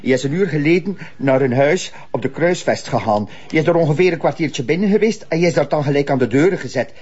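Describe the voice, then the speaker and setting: An eager, slightly hesitant Flemish junior officer in a crime drama. His husky voice sits fairly high and bounces around a lot in pitch. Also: lively intonation, fast, darkish tone. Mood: eager, puzzled. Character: eager, naive junior male police officer. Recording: dull lo-fi broadcast audio.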